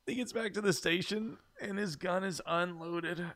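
A man in his thirties hosting a casual comedy podcast, telling things in an animated, profane way with mocking quotes. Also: sits high, moderate pace. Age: 30s. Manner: animated, profane, mock-quoting storytelling. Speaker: podcast host.

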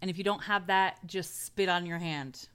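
Podcast co-host, woman, crisp bright tone, crude teasing humor. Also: on the low side, moderate pace. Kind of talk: casual chat.

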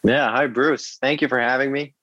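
A man's voice: mid-range voice, fairly even intonation, normal speed, fairly bright tone, no room sound. A man in his twenties from Egypt, a podcast guest answering questions in a friendly way, often laughing and repeating words. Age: 20s. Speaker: guest, professional athlete. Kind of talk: casual interview answers. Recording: dry, dull remote audio.

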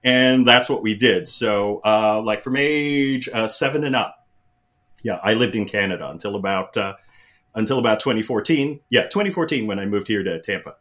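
A man's voice: lowish voice, normal speed, a bit bright. A middle-aged man from the Philippines, a guest telling stories in a casual interview, rambling with many fillers and restarts.

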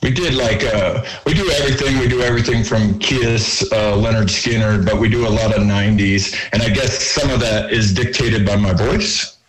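A man who sings in a rock band, talking casually in an interview with a lower voice and lots of fillers. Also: normal speed, low-quality recording. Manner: rambling, chatty, many fillers. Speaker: band singer.

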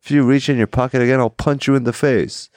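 A young man talking in casual chat, deadpan and halting, with pauses and fillers. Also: medium pitch, no echo. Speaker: comedian guest.

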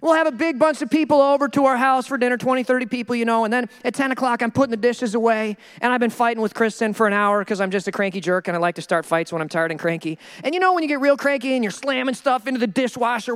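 Man, pastor, high voice, animated, jokey sermon, acts out quotes. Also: fast, hardly any pauses, long reverb tail. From Minnesota.

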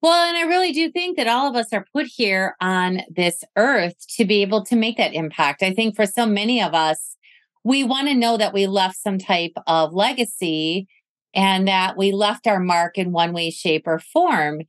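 A woman hosting a recorded video-call interview, speaking in a measured, affirming, reflective way. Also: medium pitch, animated. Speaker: podcast host.

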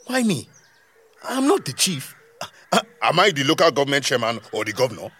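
A man playing a blustering, evasive local leader in an audio drama, with a Nigerian accent, a husky voice and an exasperated, defensive manner. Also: medium pitch, wildly swooping pitch, fairly quick, fairly bright tone, full character voice.

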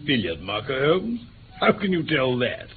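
A man with an English accent playing a genial, easily astonished gentleman companion in a radio play, polite and hearty. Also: medium pitch, wildly swooping pitch, moderate pace. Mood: incredulous. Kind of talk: mid-century British radio play acting. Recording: tinny old radio recording, faint hiss.

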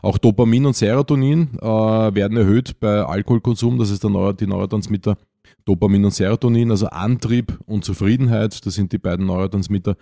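Low-voiced, mellow Austrian man explaining. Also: no echo, clean studio sound. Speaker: podcast co-host. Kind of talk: informal explanatory talk on a podcast.

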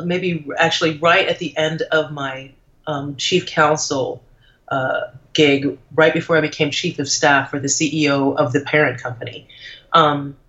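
Bright-voiced woman from Trinidad, chatty. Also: low voice. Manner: chatty and reflective, thinks aloud. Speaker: interview guest, lawyer turned blogger. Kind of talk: casual conversational interview. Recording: dull lo-fi audio.

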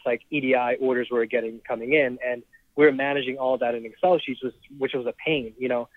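A man's voice: medium pitch, no echo. Guy from trinidad, 30s, chatty founder talking thru his story, sounds like a phone line, super dry. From Trinidad and Tobago. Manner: relaxed, laughs often, many ums and restarts. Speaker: startup founder guest. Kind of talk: casual storytelling interview.

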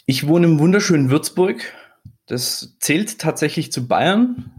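Relaxed, joking German man from Swabia. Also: on the high side, measured pace. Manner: relaxed and joking, then fluent in explaining products. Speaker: podcast guest, regional business manager. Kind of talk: casual podcast interview.

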